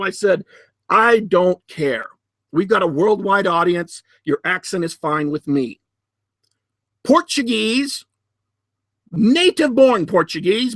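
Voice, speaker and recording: A man hosting a podcast, giving solo commentary in a deliberate, emphatic way with sardonic asides. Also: high voice, fairly bright tone, no echo. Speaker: podcast host.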